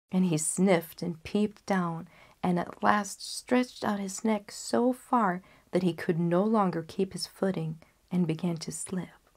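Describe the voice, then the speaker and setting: A young woman storyteller narrating a scripted fairy tale in a careful, measured read-aloud manner. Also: lowish voice, darkish tone. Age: young adult. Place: small curtained indoor space, seated.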